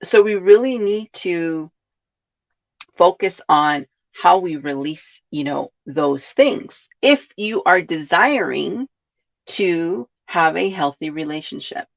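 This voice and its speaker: A woman's voice: on the low side, expressive, takes it slowly, gravelly. A woman from California hosting a reflective self-help podcast, often asking her listeners questions and adding "right?". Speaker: podcast host. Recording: dull lo-fi sound.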